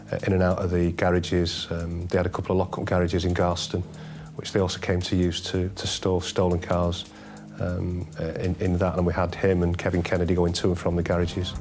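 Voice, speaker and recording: A man's voice: low voice, darkish tone. A man recalling police work in an unscripted interview, matter-of-fact and colloquial like a detective.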